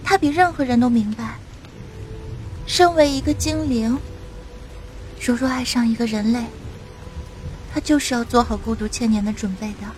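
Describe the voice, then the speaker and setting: A woman's voice: a little high, normal speed, pauses often, a little mellow, a little hiss or hum, natural voice, low-quality recording. A woman playing a fiery, indignant young female elf in a fantasy radio drama, with an emphatic, scolding delivery. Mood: pained, impassioned.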